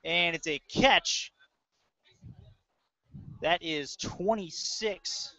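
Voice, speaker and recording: Male football play-by-play commentator, forward bright tone, clipped and casual, slightly muffled webcast sound. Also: high voice, extremely slow, no echo. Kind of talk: live American football commentary. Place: indoor audio-only webcast. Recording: dull lo-fi audio.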